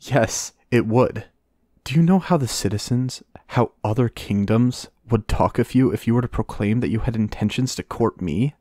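A man's voice: medium pitch, normal speed, mellow, no echo, clean studio sound, lightly acted. A man playing a dutiful, earnest knight devoted to his queen in a romantic fantasy ASMR roleplay, speaking in formal courtly phrases. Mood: flustered.

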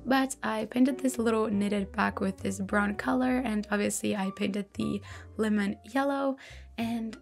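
A woman narrating a voiceover about her journaling, chatty and self-reflective, her voice going slightly hoarse. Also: medium pitch, measured pace. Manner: relaxed, chatty, self-reflective, light laughs. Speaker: bullet journal creator. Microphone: voice-over recorded separately. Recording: soft background music.

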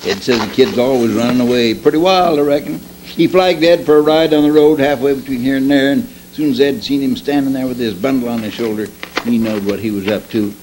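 A man's voice: mid-range voice, a little fast, lightly acted. An older man playing a deferential frontier deputy in a radio drama, with a homespun rural Western American drawl. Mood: earnest. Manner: homespun, plain-spoken. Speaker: radio actor. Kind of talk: old-time radio drama re-creation, read from script. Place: convention meeting room, indoors. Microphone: stand microphone on stage. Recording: noisy amateur live-stage video.

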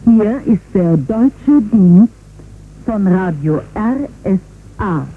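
A woman's voice: mid-range voice, animated, very slow, soft background music. A woman working as a radio announcer, giving a formal station identification. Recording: thin, phone-like shortwave radio recording.

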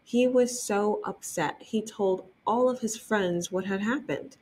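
A young woman librarian reading a picture book aloud for children, enthusiastic and gently expressive, stopping to remark on the pictures. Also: medium pitch, measured pace, a little hiss or hum. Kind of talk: storytime read-aloud.

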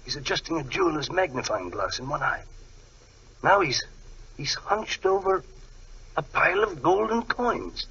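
High-voiced, excitable gentleman. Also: moderate pace, gravelly, long pauses, slightly rough, full character voice. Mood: hushed, alarmed. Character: courteous, learned, excitable titled gentleman. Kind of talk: 1940s radio drama acting. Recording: muffled old radio recording.

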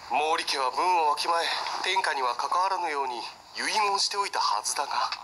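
An actor playing a wry, scholarly retired warlord in a video game, musing and mildly weary. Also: male voice, a little high, fairly quick, noisy background, natural voice. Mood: calm. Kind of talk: Japanese video game cutscene acting. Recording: game audio with loud backing music.

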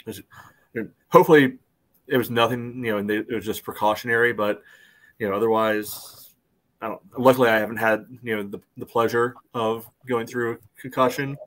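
A man's voice: mid-range voice, fairly even intonation, a little fast, a bit bright. A man co-hosting a casual soccer podcast over a video call, peppering his speech with "you know". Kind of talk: casual sports discussion.

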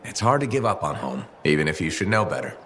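An actor playing an easygoing, gently joking freelancer pilot in a video game, dry and casual. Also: male voice, a bass voice, big pitch swings, fast, heavily acted. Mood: dry, curious. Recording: game audio with ambient noise.

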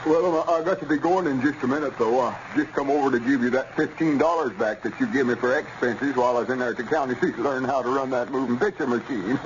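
A man's voice: medium pitch, quick pace, few pauses, natural voice. An actor playing a simple, polite young country man with a rural Southern US accent, hesitant and plain-spoken, in a 1930s radio comedy. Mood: sheepish. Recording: muffled, noisy old radio recording.

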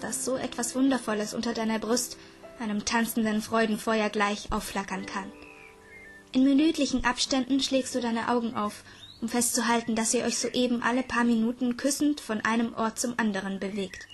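A woman reading a scripted audiobook story in a dreamy, deliberate manner. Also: fairly high pitch. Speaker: narrator. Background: background music.

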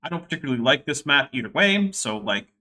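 A man's voice: medium pitch, very animated, fast, pushed and bright. A young man casually hosting a livestream from home, chatting wryly and reading viewer messages. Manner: chatty, wry, reading super chats aloud. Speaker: livestream host.

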